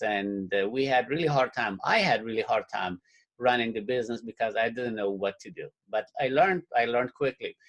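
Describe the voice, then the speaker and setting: A man from Afghanistan telling his life story on a webinar call, earnest and halting with many fillers. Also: mid-range voice, poor audio. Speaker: webinar guest speaker. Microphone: call or webinar audio.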